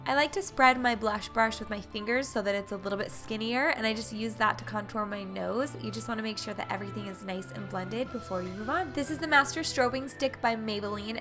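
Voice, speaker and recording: A young woman with a congested, stuffy voice from a cold, chatting upbeat through a makeup tutorial as a voice-over. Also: mid-range voice. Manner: chatty, upbeat product walkthrough. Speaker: beauty vlogger. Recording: faint music underneath.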